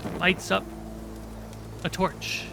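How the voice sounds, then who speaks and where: A game master narrating a tabletop roleplaying game in descriptive, suspenseful storytelling. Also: slow. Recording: clear background noise.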